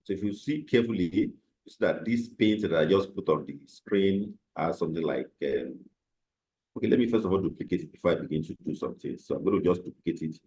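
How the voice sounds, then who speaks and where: A man teaching a live online trading class, slightly hoarse from a cold, explaining step by step with fillers over a computer microphone. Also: low voice, expressive, fast, darkish tone, low-quality recording. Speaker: trading instructor.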